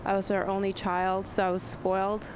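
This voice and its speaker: A woman's voice: mid-range voice, monotone, moderate pace. A woman from Congo speaking confidently and playfully as a panel guest. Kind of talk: casual panel chat. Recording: noisy room.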